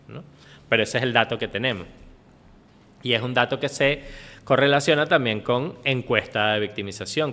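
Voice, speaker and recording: A man's voice: medium pitch, pushed and bright. A man giving an explanatory, off-the-cuff conference lecture as a researcher, often adding short tag questions to check in. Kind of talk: extemporaneous lecture on data. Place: indoor conference hall. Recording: reverberant hall, conference recording.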